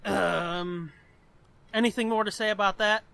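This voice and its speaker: A man's voice: high voice, steady tone, a little slow, vocal fry, sharp tone, smooth, dry recording. A man co-hosting a podcast in casual chat, crude and swearing, laughing often. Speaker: podcast co-host.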